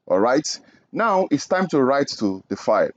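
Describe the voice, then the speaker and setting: A young man from Nigeria walks through a coding lesson step by step, pausing to check in with a quick "okay?". His voice sits at an ordinary middle pitch. The sound is dry and a little dull, recorded close at a desk. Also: big pitch swings, no echo. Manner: explains step by step, often adds "okay?". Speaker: programming instructor. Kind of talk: screen-recorded coding tutorial.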